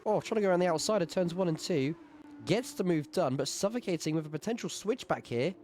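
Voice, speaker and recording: A man with a sore throat giving brisk live play-by-play commentary on a sim race, rising in excitement at overtakes. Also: sits high, a little hiss or hum.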